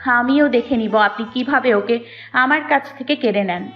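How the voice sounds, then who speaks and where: A woman's voice: a little high, normal speed, lightly acted. A woman playing a wronged, anguished and defiant young mother in an emotional romantic audio drama. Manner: emotional, pleading and accusing delivery. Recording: muffled audio with music behind. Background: background music.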